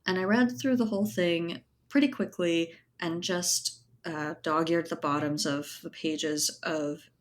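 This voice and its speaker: Low-pitched woman talking casually. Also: fairly even intonation, unhurried, hardly any pauses. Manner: thoughtful, explanatory, peppered with "you know" and "like". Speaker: interview guest, writer and editor. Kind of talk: casual conversational interview answers.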